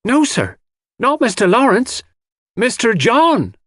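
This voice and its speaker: A frantic, tearful housemaid performed by a male audiobook reader. The voice is pushed very high and swings widely with agitation. It is recorded close and dry. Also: huge pitch swings, slow, fairly bright tone, no room sound, exaggerated voice. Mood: panicked. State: crying, agitated. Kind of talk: audiobook character voice.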